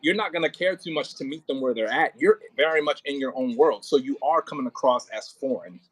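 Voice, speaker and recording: Guy coaching an actor thru a script, informal, thinks out loud, repeats himself, no room sound. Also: mid-range voice, a little fast, breathy edge, dry recording, rough recording. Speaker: audio play director. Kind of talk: casual rehearsal coaching.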